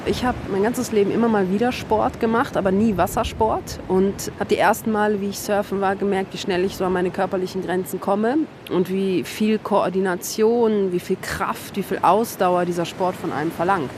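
A woman in her thirties speaks in a reflective, measured way. She was recorded outdoors, with clear noise and a low rumble audible behind her. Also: fairly even intonation. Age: 30s. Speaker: coach, interviewee. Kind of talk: casual interview talk.

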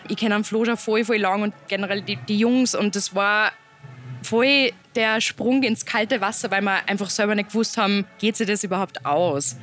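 A young woman from Upper Austria with an Upper Austrian accent, speaking as a musician guest in a relaxed interview, chatty and loose. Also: mid-range voice, moderate pace, slight background noise. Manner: chatty, loose, laughs between thoughts.

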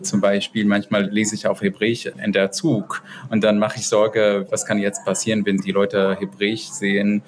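A man's voice: fairly low pitch, flat delivery, moderate pace, few pauses. A man from Israel, an interview guest, answering earnestly and searching for words in a language that is not his first. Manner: earnest, searching for words in non-native German. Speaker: interview guest, group organizer. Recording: noisy background.

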